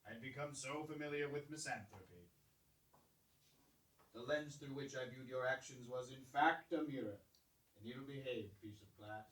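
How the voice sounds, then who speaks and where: A man voicing a brooding, formal toy warrior in a puppet show, speaking in slow, lofty phrases. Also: medium pitch, fairly bright tone, recorded from a distance, a little hiss or hum, close to an everyday voice. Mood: grave. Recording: roomy, voice from off-mic.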